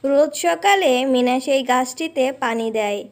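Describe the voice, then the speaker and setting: A woman's voice: high-pitched, animated, moderate pace. A woman reading scripted narration for a fairy-tale cartoon.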